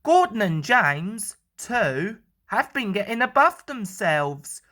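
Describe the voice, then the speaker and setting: A woman's voice: mid-range voice, sing-song, takes it slowly, fairly bright tone. A woman narrating a children's storybook as a storyteller.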